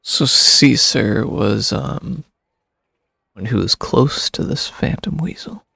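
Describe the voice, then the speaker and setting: A man's voice: mid-range voice, lively intonation, takes it slowly, vocal fry, sharp tone, slightly rough. A man streaming a game with casual, offhand commentary and amused asides.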